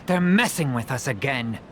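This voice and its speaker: A man's voice: medium pitch, animated, unhurried, fairly bright tone, soft background music. A man playing a cheeky, scrappy, defiant young theater supporter in an English anime game dub. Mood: scornful, defiant.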